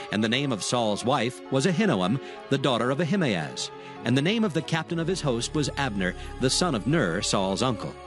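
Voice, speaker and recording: A man narrating a dramatized scripture recording as a solemn storyteller. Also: mid-range voice.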